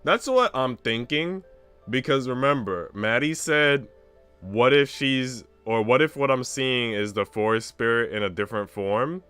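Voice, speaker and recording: A man's voice: medium pitch, expressive, unhurried, pushed and bright, clear, resonant tone, faint music behind. A man streaming a visual novel, reading its narration aloud expressively with casual commentary.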